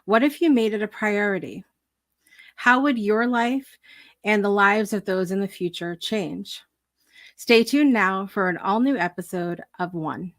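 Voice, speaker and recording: A woman's voice: mid-range voice, a little slow. A woman presenting as a show host, delivering scripted introductions and interview questions.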